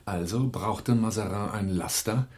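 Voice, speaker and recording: A man's voice: fairly low pitch, a little slow, darkish tone, breathy edge. An actor playing a commanding, terse, hard-boiled armed man on the run in a German radio drama, both narrating and giving orders. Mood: grim, controlled.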